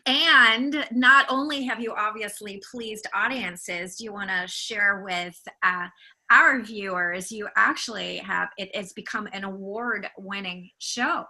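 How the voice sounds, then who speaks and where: A woman's voice: mid-range voice, unhurried, bright, forceful tone, poor audio. A woman hosting an interview, enthusiastic, asking long, rambling questions. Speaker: interviewer, talent agent. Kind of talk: interview host.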